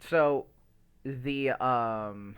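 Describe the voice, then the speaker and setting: A man's voice: medium pitch, drawn-out words, smooth. A man hosting a casual podcast and chatting to a live stream, with a rambling, stammering delivery and loose, sweary language. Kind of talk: casual unscripted podcast chat.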